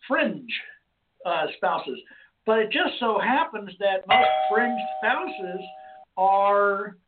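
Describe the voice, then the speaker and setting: Hoarse man hosting over a tinny line. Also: high-pitched, animated, very slow, heavy background noise. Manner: rambling, with many restarts and fillers. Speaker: host. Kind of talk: casual call-in radio talk. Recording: phone-like lo-fi line.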